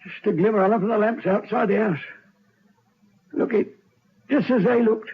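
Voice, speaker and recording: A man's voice: sits high, normal speed, gravelly, slightly rough, heavily acted. An actor playing a drunken, haunted prison hangman in a radio drama, rambling and agitated as he raves about apparitions. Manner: slurred, rambling, agitated, repeating himself. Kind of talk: old radio drama acting. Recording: dull lo-fi vintage radio recording.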